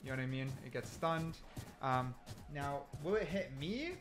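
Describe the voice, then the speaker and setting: Male gaming creator, animated, slightly high voice, casual think-aloud delivery with emphatic repetition. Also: moderate pace, over music. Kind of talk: casual gameplay commentary. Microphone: desk mic, sometimes turns away from it.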